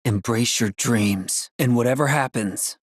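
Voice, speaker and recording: A man's voice: mid-range voice, unhurried, no echo, studio quality, close to an everyday voice. A man playing a brave, cocky young soldier hero in a video game, casual and earnest, loyal and defiant. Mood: reflective. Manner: casual, wisecracking, earnest, expressive.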